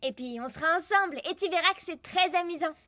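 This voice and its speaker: Bright, high-voiced sassy cartoon girl. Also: quick pace. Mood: persuasive. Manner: sassy, playful. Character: confident, teasing little girl, fun-loving and bossy. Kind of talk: French animated cartoon dubbing.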